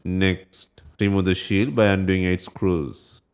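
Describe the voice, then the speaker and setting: A man with a low-pitched voice delivers scripted tutorial narration. He gives short, plain instructions one step at a time, in the manner of a repair guide. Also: flat delivery, slow, clean tone.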